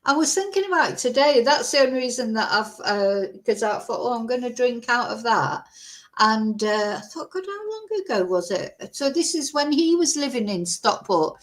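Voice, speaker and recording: A woman from Manchester with a softened Mancunian accent, rambling casually as a true crime livestream host at home. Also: on the high side. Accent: softened Mancunian English. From Manchester, England. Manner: chatty, rambling, self-deprecating. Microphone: webcam or phone camera.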